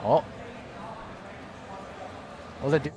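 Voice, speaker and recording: A man doing color commentary for an esports broadcast, explaining plays in a measured way. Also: dark tone. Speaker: esports co-caster.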